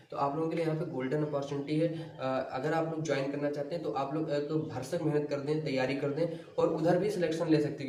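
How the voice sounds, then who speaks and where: A man with a fairly high voice teaches in an informal, explanatory way, dropping in English words and check-in tags. He sounds a little away from the mic, in a very echoey room. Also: a little mellow. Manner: mixes English words into speech. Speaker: exam coaching teacher and video host. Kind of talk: informal explainer addressed to students. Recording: echoey room, distant mic, faint noise.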